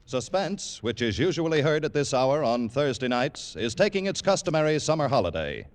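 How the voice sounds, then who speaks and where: A man's medium-pitched voice with sweeping intonation over music. He announces in the dramatic manner of 1940s radio, on an old broadcast recording. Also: sing-song, moderate pace.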